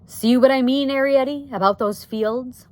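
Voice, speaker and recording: A woman's voice: sits high, a little mellow, no echo, voice pushed up, close to an everyday voice. A woman reading a storybook aloud, voicing a grave, cautious father who speaks firmly in a folksy, ungrammatical way. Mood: cautioning. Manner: folksy ungrammatical speech, measured. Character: grave, steady, plain-spoken father, cautious and firm.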